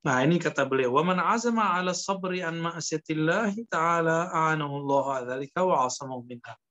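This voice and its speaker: A man teaches religion in a slightly high voice. He explains point by point in a conversational, halting preacher's style, pausing often. The recording is somewhat muffled and lo-fi. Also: animated, bright, forceful tone. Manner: explanatory, halting, with pauses and Arabic quotations. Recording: dull lo-fi lecture recording.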